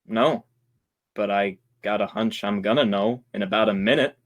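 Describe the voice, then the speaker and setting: A man's voice: lowish voice, fairly even intonation, normal speed, natural voice. A man acting in an old-time radio drama as a hapless, grumbling actor, stilted when he plays a murderer.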